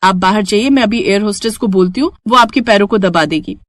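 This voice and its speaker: A woman voicing a harried young female pilot in an animated story, polite but firm and urgent with her in-laws. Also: mid-range voice, a little fast, no echo, clean studio sound, natural voice. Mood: firm, pleading.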